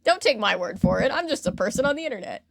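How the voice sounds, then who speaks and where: A woman's voice: fairly high pitch, very animated, fast, vocal fry, no echo, studio quality. A woman hosting a podcast, telling a story in a casual, chatty, informal way and acting out imagined reactions.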